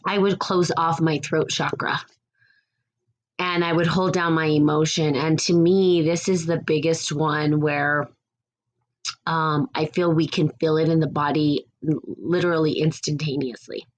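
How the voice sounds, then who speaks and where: Woman podcast host, low raspy voice, meandering reflective delivery. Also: fairly even intonation, a little slow. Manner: rambling and reflective, with frequent restarts. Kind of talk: casual spiritual podcast talk.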